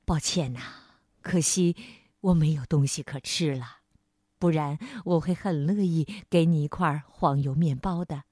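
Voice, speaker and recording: A woman voicing a lost king in a children's story, a polite, earnest father who speaks in a courteous, measured way. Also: sits low, a little mellow, breathy edge, no echo, studio quality, voice pushed down. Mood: apologetic.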